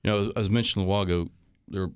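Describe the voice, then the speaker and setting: A man's voice: low voice, fairly even intonation, very fast, clean tone, no echo. A man hosting a casual talk radio show in a conversational, jovial and teasing way. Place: radio studio.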